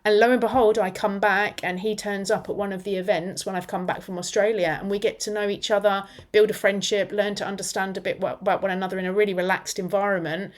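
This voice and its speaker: A woman in her forties, a radio interview guest, telling a personal story in an animated, reflective way. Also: medium pitch, fast talker, hardly any pauses. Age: 40s.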